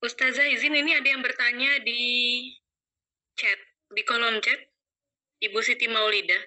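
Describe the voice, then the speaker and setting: A woman's voice: fairly high pitch, fairly even intonation, normal speed, sharp tone. A woman on a video call politely reading out a question from the chat. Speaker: student relaying chat question.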